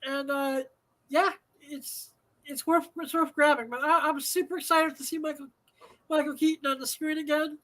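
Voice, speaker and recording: High, bright-voiced man rambling profanely. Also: level tone, normal speed, poor audio. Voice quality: slightly nasal. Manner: rambling, profane, many fillers and restarts. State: dry throat. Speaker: host. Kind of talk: casual live show monologue.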